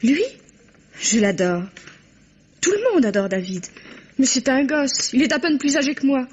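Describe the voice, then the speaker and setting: An actress playing a high-strung, outspoken young woman in an old French radio drama. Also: expressive, normal speed, a little mellow, slightly rough. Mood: restless, despairing. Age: young adult. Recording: dull vintage radio recording.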